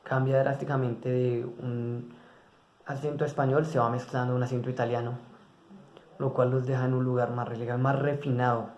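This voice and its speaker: A man's voice: medium pitch, flat delivery, moderate pace, a little mellow, muffled recording. A man giving a spoken class presentation, hesitating with fillers and restarting his words. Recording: echoey room.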